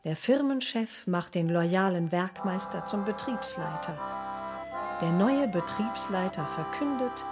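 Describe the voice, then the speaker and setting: A woman reading a scripted film synopsis in a measured, deliberate way for a radio play, with accordion music behind her. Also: lowish voice, expressive. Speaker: narrator in a radio drama. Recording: loud music under the voice.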